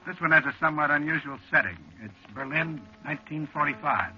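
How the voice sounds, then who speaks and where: A man's voice: fairly high pitch, a little fast. A man, a radio writer-director, giving a dry, understated announcement in 1940s broadcast style. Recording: muffled vintage radio broadcast with music. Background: music.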